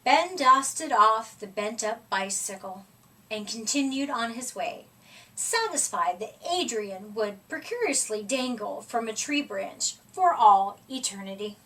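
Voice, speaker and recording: Female storybook reader, high pitch, slow and deliberate with dramatic emphasis, recorded at a distance from the mic. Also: expressive, a bit bright. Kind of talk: expressive read-aloud of a children's picture book.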